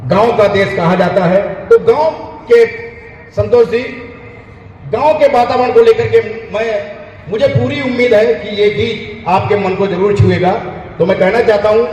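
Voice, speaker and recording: A male poet from India introducing and then reciting a village poem on stage in a slow, melodic chant, repeating each refrain. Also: sits high, a little mellow, slight background noise. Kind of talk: sung poetry recitation on stage. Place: outdoor festival stage. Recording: echoey dull hall sound from far off.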